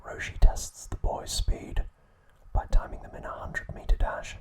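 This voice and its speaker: A man narrating trivia as an ASMR host, telling it softly and without hurry. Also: normal speed.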